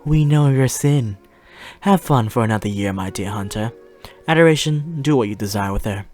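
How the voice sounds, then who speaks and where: A woman's voice: very deep voice, lively intonation, moderate pace, warm tone, faint music behind. A woman playing a haughty demon mistress in an audio drama, teasing with mocking, cruel politeness. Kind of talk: fiction podcast audio drama acting.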